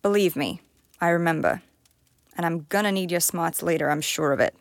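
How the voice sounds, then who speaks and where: A woman's voice: lowish voice, fairly quick, creaky, no room sound, clean studio sound. An actress playing a tough, sardonic, foul-mouthed young woman who leads a team in a fantasy audio drama. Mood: firm, reassuring. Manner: blunt, sardonic.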